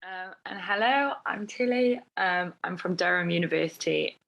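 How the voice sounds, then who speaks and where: A woman's voice: on the low side, normal speed, gravelly, fairly bright tone. A woman PhD student introducing herself cheerfully on a video call. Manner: cheerful, laughing lightly.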